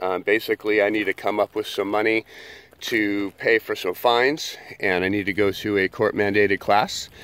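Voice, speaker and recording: An American man in his early forties with a fairly low, smooth and clear voice. He speaks candidly and earnestly, introducing himself in plain words as if for an informal video résumé. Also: fairly bright tone. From California, United States. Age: early 40s. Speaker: job seeker introducing himself.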